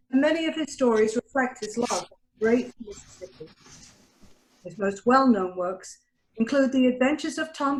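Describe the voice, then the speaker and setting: A woman with a fairly high voice reads a scripted introduction as a presenter. The sound is roomy and echoey, like a video call from indoors. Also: darkish tone, slight background noise. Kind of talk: scripted introduction read aloud.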